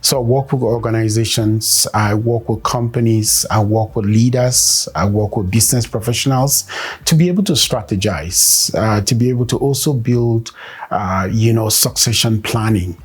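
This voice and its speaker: A man from Cameroon, a performance consultant and coach, giving measured interview answers with many pauses and fillers. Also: on the low side, slightly rough.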